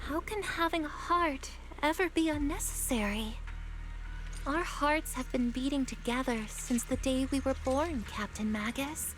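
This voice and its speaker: An actress voicing a devoted, gentle-hearted young female soldier in a video game, speaking earnestly and from the heart. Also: high-pitched, unhurried, music in the background, rough recording. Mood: tender, earnest. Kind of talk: dramatic English video game voice acting.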